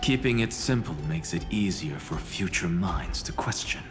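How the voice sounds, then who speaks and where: A man's voice: deep voice, animated, normal speed. A man playing a weary, brooding, aloof figure in an anime game, with heavy reverb on the voice. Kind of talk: dramatic anime game acting. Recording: echoing reverb, loud music.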